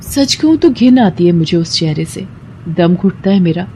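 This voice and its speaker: A woman's voice: lowish voice, expressive, moderate pace, warm tone, a little hiss or hum. A woman voicing an earnest, troubled young female student in a story, speaking emotively. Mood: bitter, brooding. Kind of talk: dramatized story dialogue.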